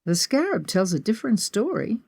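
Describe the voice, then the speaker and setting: A woman's voice: mid-range voice, sing-song, a little fast, creaky, darkish tone, husky, voice pushed up, exaggerated voice. A woman voicing a confident, teasing male adventurer in a narrated story. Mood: businesslike.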